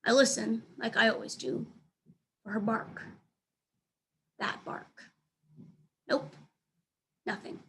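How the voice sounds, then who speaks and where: A woman reading a children's book aloud as a teacher, in an expressive storytelling style. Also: on the high side, slow pace, pauses often, slight background noise.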